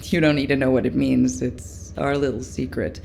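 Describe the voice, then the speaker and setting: An actress playing a chatty, eager young military engineer in a game, informal, rambling and expressive. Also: female voice, rumbling low, normal speed, vocal fry, slight background noise, heavily acted. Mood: playful, secretive. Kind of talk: game character dialogue.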